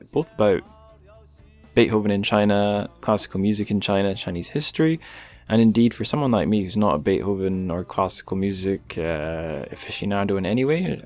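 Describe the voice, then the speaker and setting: A male podcast host with a fairly low voice, easygoing and a little rambling as he chats. He slips in dry little jokes. Faint music sits in the background. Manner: relaxed, rambling, light joking. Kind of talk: casual podcast chat and plugs.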